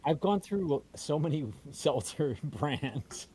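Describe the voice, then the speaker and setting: A man's voice: a little high, animated, slow, mellow. A man chatting casually on a livestream in a rambling, sweary way. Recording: faint background noise.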